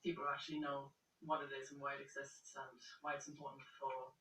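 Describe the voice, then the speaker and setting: A Welsh man, an independence campaigner, speaking earnestly and a little haltingly in a documentary interview. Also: mid-range voice, flat delivery, sharp tone, roomy sound, distant mic, a little hiss or hum, poor audio. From Wales.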